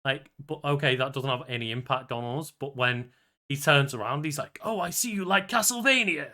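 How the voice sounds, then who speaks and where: A man's voice: medium pitch, moderate pace, sharp tone. A man co-hosting a podcast in a casual, conversational style. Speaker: podcast co-host.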